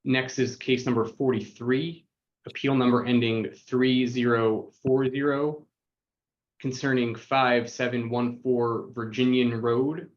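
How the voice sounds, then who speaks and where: A man's voice: medium pitch, flat delivery, slow, dull audio. Formal man reading a case list. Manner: formal, methodical, reads numbers and addresses. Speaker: city attorney.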